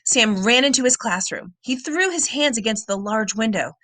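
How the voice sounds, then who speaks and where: A woman reading a children's book aloud as a storyteller, with expressive, dramatic and suspenseful delivery. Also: a little high, moderate pace, dry recording.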